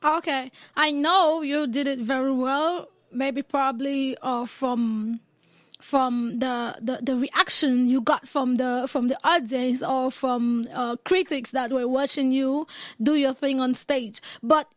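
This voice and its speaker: A woman radio host chats casually through an interview. Her voice sits high, and the sound is dry and close, with little room around it. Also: fairly even intonation, takes it slowly, no echo.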